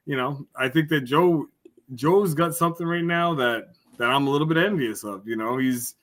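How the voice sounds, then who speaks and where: A man on a podcast as a guest, talking casually in a rambling way with bursts of excitement. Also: mid-range voice. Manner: rambling, breaks into excited exclamations. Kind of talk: casual chat.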